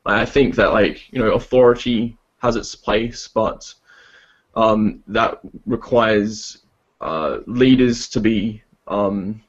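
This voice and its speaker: A man's voice: lowish voice, slow pace, highs cut off. A man, a podcast guest who talks hesitantly, full of ums and restarts. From Australia. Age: 20s. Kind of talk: casual podcast chat. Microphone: online call.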